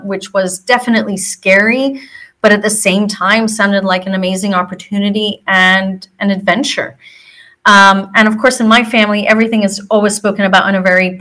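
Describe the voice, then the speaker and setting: A woman in her forties from Hong Kong with a mixed American and British accent, hosting a podcast and telling her own story casually with frequent ums. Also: mid-range voice. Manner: relaxed, fillers like um between phrases. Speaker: podcast host. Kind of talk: scripted podcast intro then casual storytelling.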